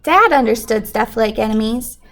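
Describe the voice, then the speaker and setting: A woman reading a picture book aloud to children in an animated way, pausing to put questions to young listeners. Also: on the high side. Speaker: children's story reader.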